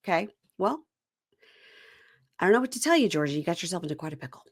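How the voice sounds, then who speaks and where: A woman's voice: lowish voice, quick pace, dry recording, studio quality. A woman hosting a casual reality TV recap podcast, retelling scenes and acting out the cast in mocking voices. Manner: animated, acts out cast members' lines in mocking voices. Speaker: podcast host.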